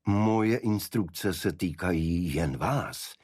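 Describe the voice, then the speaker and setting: A man's voice: low-pitched, a little mellow, no room sound, clean studio sound. A man voicing a burly official escort in an audiobook, formal and curtly courteous, with an insistent manner. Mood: curt, firm.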